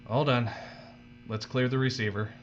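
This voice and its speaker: An actor playing an uneasy young novice worker, subdued and hesitant, in a dark audio drama. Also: male voice, medium pitch, fairly even intonation, moderate pace, natural voice. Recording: dull audio with clear mains hum.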